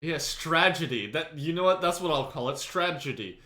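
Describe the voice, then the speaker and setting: A man's voice: fairly high pitch, expressive, normal speed, pushed and bright, slight background noise. A man hosting a casual chat among friends, joking and laughing often. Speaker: streamer and podcast host.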